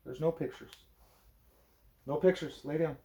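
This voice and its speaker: A man, a parent, reading a book aloud expressively as a bedtime story, with a wry, deadpan manner. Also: on the high side, a little slow.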